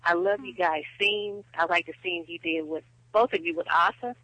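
High, bright Texan man on the phone. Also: steady tone, moderate pace, dry recording. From Texas, United States. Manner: effusive, admiring. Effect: telephone. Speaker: caller. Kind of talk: casual phone-in chat. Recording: thin, dry telephone line.

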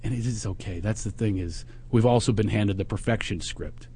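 A man's voice: lowish voice, fairly quick, dark tone, husky. A man from Philadelphia with a Philadelphia accent, hosting a podcast in a reflective, motivational way. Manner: reflective, motivational, spiritual encouragement. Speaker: podcast host. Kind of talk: casual podcast talk.

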